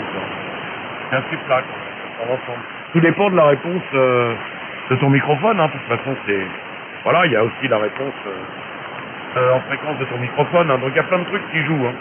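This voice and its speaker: A man talking as an amateur radio operator over shortwave, giving casual technical advice in a chatty, colloquial way. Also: medium pitch. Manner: colloquial, chatty explaining, frequent tag words. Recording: narrow hissy noisy shortwave radio transmission.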